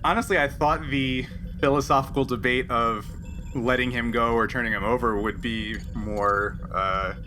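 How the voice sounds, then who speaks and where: A man with a bright, forceful voice chats as a game master. His pitch is middling and his delivery is conversational. Faint music plays behind him. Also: fairly even intonation, moderate pace. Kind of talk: casual table talk after a tabletop game.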